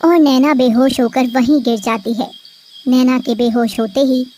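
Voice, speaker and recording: High-voiced woman narrating a cartoon tale. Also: normal speed, a little hiss or hum. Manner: steady, storytelling cadence. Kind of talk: scripted storybook narration.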